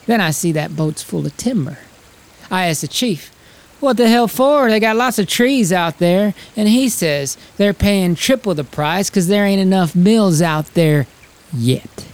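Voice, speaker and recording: An actor playing a volatile, ambitious 1890s drifter who talks in folksy slang as he pitches big schemes in an audio drama. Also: expressive, unhurried, a little mellow. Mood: matter-of-fact. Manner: folksy, slangy frontier talk. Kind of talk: acted screenplay reading.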